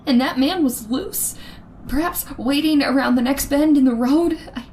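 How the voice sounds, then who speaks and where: A woman's voice: sits high, moderate pace, a little mellow, a little hiss or hum, natural voice. A woman playing a nervous, frightened lone traveler in an old-time radio suspense drama, with a shaky, anxious and hesitant manner. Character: nervous red-haired woman driving alone at night, frightened. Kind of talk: old-time radio suspense acting, first-person narration.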